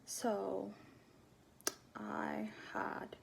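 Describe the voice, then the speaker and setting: A woman in her twenties from Ethiopia talking casually to her camera, soft-spoken and hesitant, often dropping to near-whispers. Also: medium pitch, monotone, extremely slow, vocal fry, slight background noise. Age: 20s. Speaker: vlogger. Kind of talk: casual personal talk to camera.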